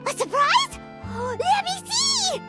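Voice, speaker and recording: A woman voicing a tiny, excitable, curious fairy companion with a squeaky childlike voice in a video game. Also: slow pace, full character voice. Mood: amazed, excited. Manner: squeaky, wide-eyed exclamations and questions. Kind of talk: scripted video game dialogue. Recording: loud music behind the voice.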